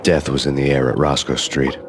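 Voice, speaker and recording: A man voicing a grim, hardboiled male cop who narrates in a deadpan noir style. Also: rumbling low, fairly quick, slight background noise. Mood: brooding. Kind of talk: game voice-over narration.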